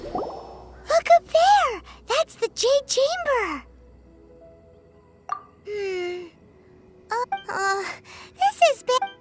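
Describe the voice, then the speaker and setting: A woman voicing a tiny, chatty, childlike fairy girl companion in a video game, squeaky and exclamatory. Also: sing-song, extremely slow, pauses often, heavily acted. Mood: curious, eager. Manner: speaks of herself in the third person, exclamatory. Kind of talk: animated video game dialogue. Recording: game music loud behind the voice. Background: loud game music.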